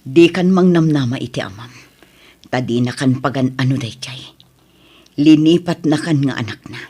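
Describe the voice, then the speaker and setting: A woman with an Ilocano accent playing a gentle, protective middle-aged mother in a radio drama, soft-spoken and hesitant. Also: rumbling low, moderate pace, breathy edge. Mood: sorrowful.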